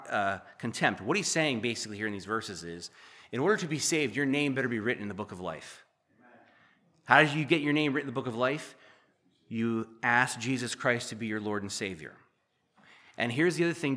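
A man preaching a sermon, reading scripture aloud and then explaining it in a conversational way, his voice slightly hoarse and worn. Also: mid-range voice. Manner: reads verses louder, then explains conversationally. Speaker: pastor.

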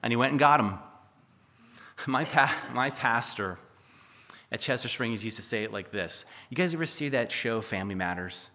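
Hoarse preacher in an echoey hall. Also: male voice, medium pitch, expressive, moderate pace, sharp tone. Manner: conversational, with jokey asides and frequent "okay?" and "right?". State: hoarse from losing his voice. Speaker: pastor. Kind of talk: preaching a sermon with Bible readings.

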